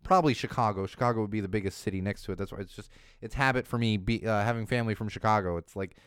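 A man hosting a casual news podcast in a studio, rambling and correcting himself as he goes, his voice a bit hoarse from losing it. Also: fairly low pitch, racing, a bit bright, no room sound. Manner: chatty, rambling, self-correcting. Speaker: podcast host.

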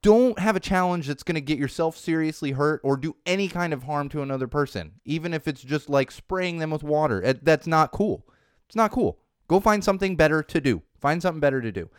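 Podcast host, young adult man from Southern California; wry, rambling monologue with restarts, smooth resonant voice in a dry booth. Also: a little high, lively intonation, fairly quick, no room sound. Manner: rambling, wry, self-deprecating, frequent restarts. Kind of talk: solo podcast monologue on social trends. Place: home studio.

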